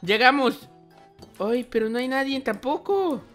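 A young man hosts a gaming roleplay in a high, youthful voice. He chats playfully and often exclaims, with music playing behind him. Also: lively intonation, a little slow, a bit bright. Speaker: gaming video host. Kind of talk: excited gameplay roleplay chat. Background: background music.

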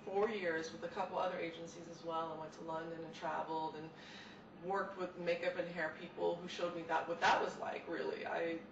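A woman in her thirties from Jamaica, a makeup and hair artist, introducing herself to the camera in a relaxed, reflective way. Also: on the low side, fairly quick, dull audio. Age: 30s. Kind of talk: casual self-introduction to camera. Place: indoor room, seated on a sofa. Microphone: camera at a distance. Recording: roomy distant sound with background noise.

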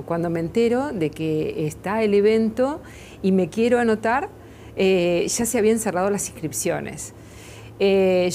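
A middle-aged woman with a Uruguayan accent, a makeup artist answering questions in a TV studio interview, often pausing with fillers and restarts. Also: medium pitch, a little mellow, slight background noise. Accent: Uruguayan Spanish. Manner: hesitant, many fillers and restarts. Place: TV studio set, seated in armchair.

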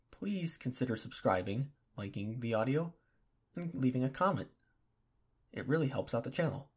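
A man's voice: mid-range voice, darkish tone, breathy edge. A man speaking as an audio roleplay creator, delivering a scripted outro to listeners.

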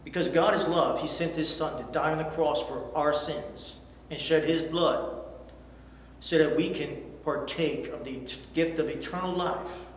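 A man's voice: a little high, measured pace. A middle-aged man teaching a Bible lesson to children, reading scripture aloud and asking questions in an earnest way. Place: church studio set with backdrop, indoors. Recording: echoey hall, faint hiss.

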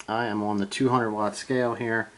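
A man giving a casual, hands-on gear demonstration, halting and unscripted as he thinks aloud from behind the camera in a room at home. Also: lowish voice, flat delivery, fairly bright tone. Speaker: amateur radio hobbyist, presenter. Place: small room at home, indoors.

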